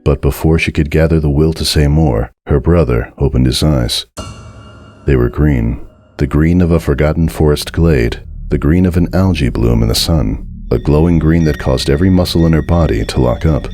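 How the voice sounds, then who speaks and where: A man giving a dramatic, scripted narration of a horror story in a measured, deliberate and suspenseful way. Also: extremely low pitch, warm tone, faint music behind.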